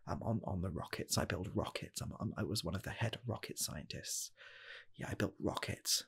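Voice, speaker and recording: A man performs an earnest, nervous young scientist pitching himself in a comedic ASMR roleplay. His voice is low, very breathy and husky with a gravelly fry. He speaks softly and haltingly, doubling back on his phrases. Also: full character voice. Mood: earnest, eager. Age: 20s. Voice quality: soft, husky, gravelly, slipping into whispers. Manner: halting, stammering, repeats phrases. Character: eager, nervous rocket scientist applying for fast-food work. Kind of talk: comedic ASMR roleplay, improvised job interview.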